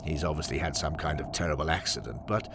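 A man voicing a pompous, excitable old-fashioned gentleman in a blustering, plummy for an audio story. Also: a bass voice, fast talker, creaky, background noise. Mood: hearty.

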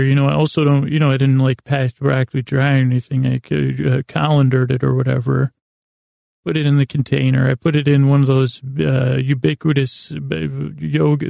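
A man hosting a bedtime podcast in a creaky, gravelly voice, giving a meandering, halting monologue full of false starts and tangents. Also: medium pitch, a little mellow, no echo, clean studio sound. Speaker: host of a bedtime sleep podcast. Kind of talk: rambling, unhurried bedtime monologue.

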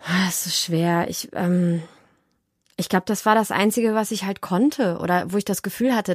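A woman's voice: lowish voice, dry recording, clean studio sound. A woman giving casual, chatty interview answers in a playful, self-ironic way, telling stories with animation. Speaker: interview guest, actress and audiobook narrator.

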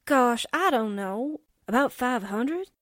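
An actress playing a breezy, playful young gal with slangy talk in 1940s-style radio drama. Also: female voice, on the high side, lively intonation, takes it slowly, a bit bright, no room sound, clean studio sound. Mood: curious, wary. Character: breezy, man-hungry young gal, reporter's chummy sidekick.

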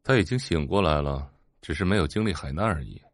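A brooding boss type, terse, deep husky voice, audio drama. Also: male voice, expressive, brisk, no echo, clean studio sound, heavily acted. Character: brooding, commanding, terse gentleman.

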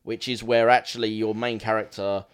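A man's voice: lowish voice, flat delivery, normal speed, clean tone. Rambling Essex man. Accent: Essex English. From Essex, England. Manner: rambling, laughs easily, swears casually. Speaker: podcast co-host. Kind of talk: casual film chat.

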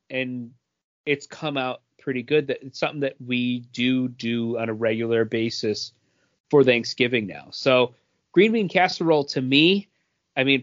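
A man's voice: mid-range voice, fairly bright tone. A man co-hosting a podcast, casually telling anecdotes. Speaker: podcast co-host. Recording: dull, muffled audio.

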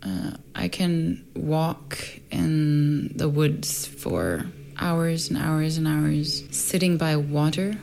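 A woman from Sweden, a workshop teacher talking in a soft, thoughtful way with many pauses. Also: sits low, vocal fry, a little hiss or hum. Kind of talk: casual conversation.

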